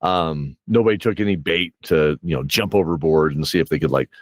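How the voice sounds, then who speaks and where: A man's voice: very deep voice, a little fast, no room sound. A man hosting a casual podcast interview, telling game stories in a rambling way and repeating words as he thinks. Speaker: host and game master interviewing a player.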